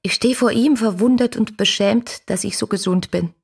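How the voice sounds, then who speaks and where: Husky, ardent young romantic letter-writer. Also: female voice, mid-range voice, moderate pace, a little mellow. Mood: reflective, admiring. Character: Bettine, ardent young romantic letter-writing woman, grieving and devoted. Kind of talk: literary radio drama monologue, read letters.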